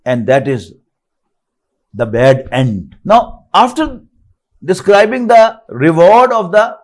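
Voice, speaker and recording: Older Pakistani male Quran teacher, high lively voice, recites verses, then explains each phrase. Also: takes it slowly. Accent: Pakistani English. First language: Urdu. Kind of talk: online lecture, reciting and translating verses.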